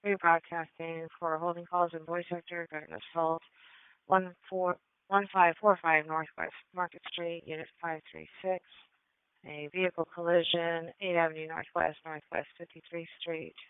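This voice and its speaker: A woman's voice: fairly low pitch, level tone, moderate pace, smooth, no room sound. A woman working as a police and fire dispatcher over two-way radio, calling out incidents and numbers in a clipped, procedural way. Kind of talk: scripted-sounding radio dispatch calls. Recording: tinny narrow-band radio scanner feed.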